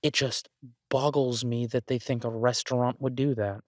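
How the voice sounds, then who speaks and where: A man's voice: medium pitch, steady tone, normal speed, darkish tone, no room sound, clean studio sound, lightly acted. A man playing an exasperated yet affectionate chef boyfriend in a roleplay audio, ranting incredulously and conversationally to his partner. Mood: exasperated, bemused. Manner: ranting, incredulous, emphatic with repeated words. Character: exasperated but affectionate human boyfriend, restaurant chef for supernatural guests.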